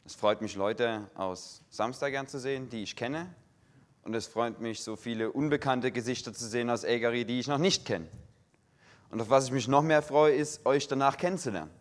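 A young man from Saxony giving a sermon in a full church hall in standard German with a faint Saxon tinge, slow and measured, stressing single words. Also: medium pitch. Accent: standard German with a slight Saxon tinge. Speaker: guest preacher.

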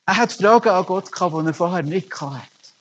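A man's voice: sits high, animated, brisk, fairly bright tone, slightly rough, dull audio. A middle-aged man, preaching a sermon as a pastor and telling stories earnestly with emphatic rises. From Germany.

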